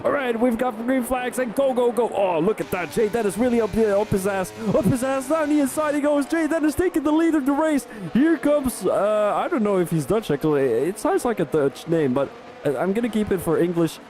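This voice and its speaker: A man from Belgium, doing live race commentary for a sim racing league, rambling in chatty asides and rising to excited calls on overtakes. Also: extremely high pitch, brisk, faint music behind.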